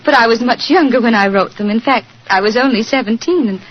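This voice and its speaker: A woman's voice: fairly high pitch, quick pace, natural voice. An actress playing a genteel, forthright young society lady, polite and coaxing, in a 1940s radio drama with muffled sound. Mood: troubled, earnest.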